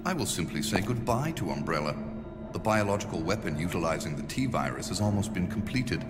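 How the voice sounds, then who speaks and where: An actor playing a cold, arrogant, calculating male conspirator in a video game, measured and detached. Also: low-pitched, very animated. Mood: cool calculation. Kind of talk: video game villain acting. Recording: long reverb, loud music behind.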